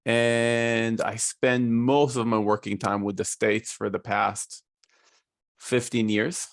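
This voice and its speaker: A man in his forties from Israel with an Israeli accent, a guest on a video call explaining his business in a measured way with fillers. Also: medium pitch, little pitch movement, vocal fry, dry recording, studio quality. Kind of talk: casual interview talk.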